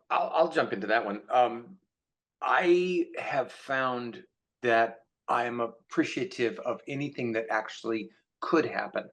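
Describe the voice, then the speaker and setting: A man's voice: mid-range voice, a bit bright. A man speaking as a guest author in a casual panel conversation, thinking aloud and repeating for emphasis. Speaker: science fiction author, guest.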